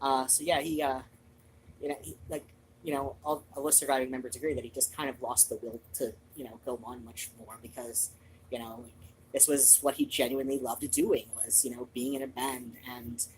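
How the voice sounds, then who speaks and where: A woman hosting a show, chatting casually in an animated, digressive, freely swearing way. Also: extremely low pitch, moderate pace, a little hiss or hum, poor audio. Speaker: host of a reading and chat show. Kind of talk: rambling casual personal chat.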